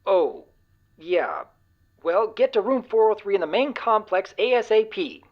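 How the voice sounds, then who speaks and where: A woman playing an inquisitive, businesslike team leader in an audio drama, probing and brisk. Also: mid-range voice, sing-song, dull audio.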